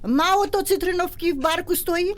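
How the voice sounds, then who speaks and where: A scolding highland mother. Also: moderate pace. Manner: scolding, sharp, regional speech. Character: scolding Polish mother, middle-aged wife. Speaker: wife Kasia. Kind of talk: radio drama acting.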